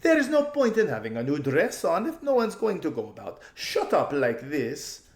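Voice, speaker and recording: Man putting on a high, giggly young society lady. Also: wildly swooping pitch, moderate pace, reverberant. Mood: lazy, pouting. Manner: gushing, drawling, calls everyone darling. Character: flighty, giggly, self-absorbed young noblewoman, lazy society drawl.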